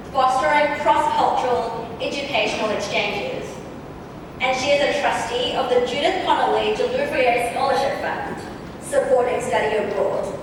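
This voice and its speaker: A woman reads a prepared award introduction at a banquet, measured and deliberate, stressing each item in her lists. Her voice sits high. The recording is distant and boomy with a long reverb tail. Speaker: award presenter. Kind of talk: formal prepared speech. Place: large banquet hall. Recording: echoey banquet hall from far off, noisy.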